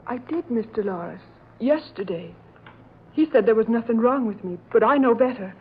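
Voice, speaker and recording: Timid complaining housemaid played by an actress, higher voice, 1940s radio drama, noisy old recording. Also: female voice, expressive, normal speed, lightly acted. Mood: pained. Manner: meek, folksy. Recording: tinny, hissy vintage radio recording.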